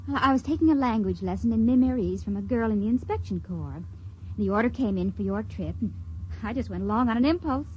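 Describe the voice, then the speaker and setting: A woman's voice: on the high side, animated, fast, muffled recording, lightly acted. An actress playing a naive, trusting young woman stowing away, in 1950s radio drama style. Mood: innocent, curious. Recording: faintly noisy 1950s radio recording.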